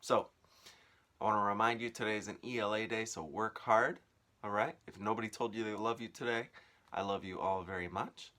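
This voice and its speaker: A man's voice: fairly low pitch, steady tone, fairly quick, pushed and bright. A man reading a storybook aloud like a teacher, expressively and with dramatic, varied emphasis.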